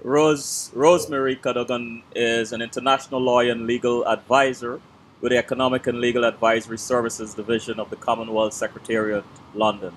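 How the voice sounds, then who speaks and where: A man's voice: medium pitch, little pitch movement. Man hosting a formal interview. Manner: measured, formal introductions. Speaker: talk show host. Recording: noisy background hum.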